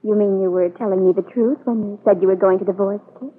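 Tinny old broadcast, slightly husky, woman playing proud young American girl, cool, clipped, aloof, radio soap opera. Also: medium pitch, steady tone, fast, close to an everyday voice. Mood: cool, hurt. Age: young adult. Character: proud, hurt eighteen-year-old country girl, coolly aloof. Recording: muffled vintage radio broadcast recording.

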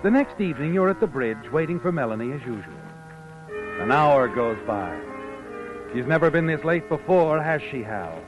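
A man's voice: on the high side, sing-song, normal speed. A man playing a sly, all-knowing narrator in 1940s radio suspense style, needling a schemer in the second person with knowing questions. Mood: sly, taunting. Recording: muffled old radio broadcast, music behind.

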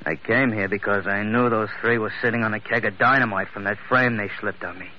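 Man playing low, grim avenger, muffled old radio. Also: steady tone, brisk, close to an everyday voice. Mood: gloating. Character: grim, vengeful man gloating over long-awaited payback. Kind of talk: 1940s radio melodrama acting. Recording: muffled old radio recording, faint hiss.

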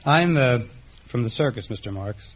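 A man's voice: mid-range voice, expressive, normal speed, a bit bright, a little hiss or hum. A man in his thirties from Delaware, giving short, polite answers as a quiz show contestant. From Delaware, United States. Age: 30s. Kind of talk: brief interview answers. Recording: muffled old radio broadcast.

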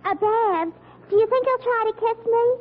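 A woman playing a naive, slow-witted but eager teenage girl with a squeaky voice in an old radio comedy. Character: dim, naive, eager teenage girlfriend, squeaky and literal-minded. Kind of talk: 1940s radio comedy acting. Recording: muffled, noisy old radio recording.

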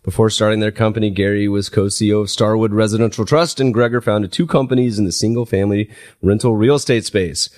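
A man's voice: on the low side, fast, dry recording, clean studio sound. A man hosting an interview podcast, chatting casually and a little stuffy from a cold. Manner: relaxed, chatty, with fillers and restarts. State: slightly congested with a cold. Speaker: podcast host.

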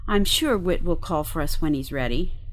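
A sensible female starship officer played by a woman with a fairly low voice. She is level-headed with a dry, teasing sarcasm, in a sci-fi audio drama. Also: lively intonation, moderate pace. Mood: questioning, reassuring.

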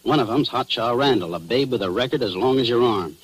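An actor playing a tough, secretive undercover police operative who talks in slang in a 1940s radio drama. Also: male voice, mid-range voice, expressive, fairly quick, lightly acted, rough recording. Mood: furtive, slangy. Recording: hissy old radio recording.

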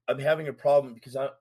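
A man tells his rough past in loose street slang, animated and talkative. His voice sits at an ordinary middle pitch for a man. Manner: street slang, animated retelling with acted quotes. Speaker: guest. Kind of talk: casual storytelling about his past.

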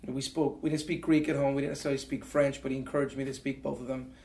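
An earnest man in his forties tells his story with plenty of ums and restarts. His voice sits at an ordinary middle pitch. The room around him rings with a roomy echo. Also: level tone, quick pace, darkish tone. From Canada. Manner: earnest, many fillers and restarts. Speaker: interview guest. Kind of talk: reflective storytelling.

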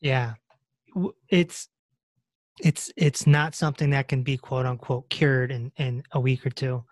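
A woman hosting a podcast, interviewing a guest in a relaxed, affirming way and sharing her own stories. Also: very deep voice, a little slow, no echo. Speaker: podcast host. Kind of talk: conversational interview.

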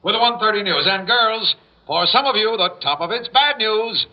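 A breezy male disc jockey in an old radio play. His voice is pitched high, with chatty, teasing patter. The sound is slightly muffled, like an old broadcast. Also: lively intonation, moderate pace. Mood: breezy, mock-mournful. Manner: chatty, teasing address to teenage girls.

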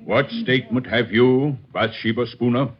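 An actor playing a stern colonial court official, declaiming in solemn, formal tones in an old radio drama. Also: male voice, mid-range voice, steady tone, normal speed, noisy background, natural voice. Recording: dull, lo-fi old radio recording.

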